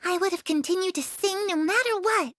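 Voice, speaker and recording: A gentle young woman in a game cutscene, with a very high, light voice. She speaks softly and dreamily and slips easily into playful teasing. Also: brisk, a little hiss or hum. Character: gentle wistful young woman, lost sister.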